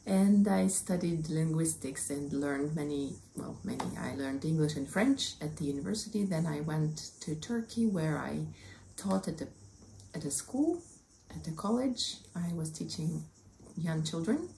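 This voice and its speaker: A woman's voice: deep voice, animated, a little slow, creaky, mellow, a little hiss or hum, low-quality recording. A woman in her thirties with a Russian accent, hosting a crafting podcast in a friendly chatty way, recorded indoors at home on the camera mic. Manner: friendly, chatty, gestures with both hands. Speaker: podcast host. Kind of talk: casual chat to camera. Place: indoors, small home room.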